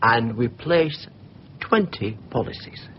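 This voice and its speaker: An actor in a radio drama playing an earnest, calculating schemer who talks in a measured, hesitant, confiding way. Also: male voice, medium pitch, animated. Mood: businesslike, conspiratorial. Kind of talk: naturalistic 1990s radio drama acting. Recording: dull radio recording, faint noise.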